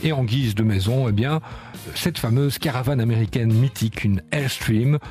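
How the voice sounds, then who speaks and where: A man's voice: medium pitch, moderate pace, darkish tone, soft background music. Chatty French-speaking male radio host. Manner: chatty, frequent filler words, storytelling. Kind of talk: casual radio segment presenting a musician.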